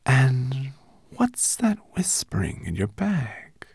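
A man voicing a courteous, ominous female sea serpent in an audiobook, with a slow, hissing, whispery delivery. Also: medium pitch, wildly swooping pitch, creaky, a little mellow, husky, no echo, voice pushed up, heavily acted. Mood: curious. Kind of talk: audiobook character acting.

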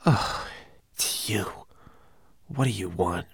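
A man's voice: medium pitch, sing-song, moderate pace, a bit bright, no room sound, studio quality, pitched above the performer's own voice. A man voicing a small, anxious male homunculus who stammers nervously.

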